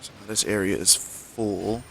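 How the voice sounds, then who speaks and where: A man's voice: on the low side, steady tone, measured pace, creaky, a little hiss or hum, poor audio. A man giving casual, slangy gameplay commentary, talking to himself and his viewers. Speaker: game streamer. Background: video game music.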